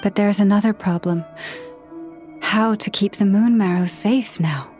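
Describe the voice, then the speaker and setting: An actress voicing a calm, earnest young woman leader who speaks in a quiet, measured, solemn way. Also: medium pitch, music in the background, lightly acted. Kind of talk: video game dub acting.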